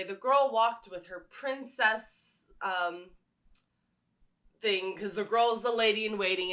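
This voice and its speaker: Female vlogger, bright and crisp-edged tone, chatty and keen, wandering through personal stories in an informal way. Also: medium pitch. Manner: enthusiastic, rambling storytelling. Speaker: vlogger and fan fiction writer. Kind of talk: casual chatty talk to viewers.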